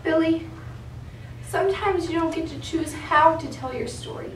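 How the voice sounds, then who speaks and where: A young woman in readers theatre playing a gentle, ailing mother who loves her little boy. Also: very high voice, normal speed. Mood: tender, sad. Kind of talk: high school readers theatre acting. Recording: distant, echoey stage recording, faint noise.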